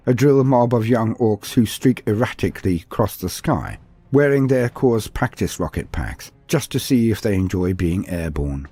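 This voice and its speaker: A man's voice: on the low side, mellow, husky. A man delivering dramatic scripted narration of fantasy lore with a theatrical storytelling manner.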